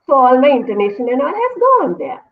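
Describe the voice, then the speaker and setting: A woman's voice: sits high, wildly swooping pitch, fairly quick. Sri lankan lady academic talking it through over a tinny video call, careful and thoughtful. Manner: thoughtful, explanatory, self-correcting. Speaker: university English lecturer, guest. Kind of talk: academic discussion in an online interview. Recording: phone-like online call audio.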